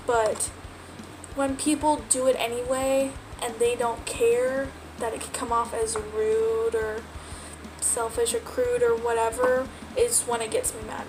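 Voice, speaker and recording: A woman's voice: on the high side, background noise. A young woman from Texas with a light American accent, chatting casually and rambling to the camera in a get-to-know-me video, laughing often. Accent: American, mildly Texan. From Texas, USA. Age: young adult. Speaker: vlogger. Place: at home.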